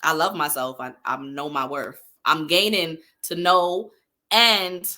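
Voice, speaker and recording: A woman's voice: low-pitched, fairly even intonation, unhurried, pushed and bright. A woman in her thirties co-hosting a podcast, chatting candidly with frequent laughter and self-affirming talk. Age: 30s. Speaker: podcast co-host. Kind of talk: casual chat.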